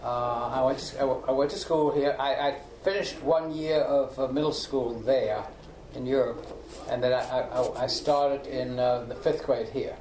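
An older man from Austria, a native German speaker, recalling his life story in a halting way with repeated words, recorded in his home kitchen. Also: mid-range voice, a little mellow, roomy sound, slight background noise. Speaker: interviewee. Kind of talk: oral history recollection.

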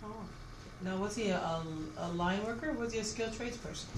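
A woman's voice: mid-range voice, fairly quick. A woman conducting a recorded oral history interview as the interviewer, asking questions. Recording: echoey room, clear background noise.

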